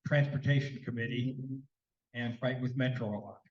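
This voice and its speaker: A man co-hosting a neighborhood homeowners' meeting in a senior center hall, talking haltingly with many fillers and a slight cold. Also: medium pitch, steady tone, muffled recording. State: sneezing, slightly congested. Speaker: meeting co-host.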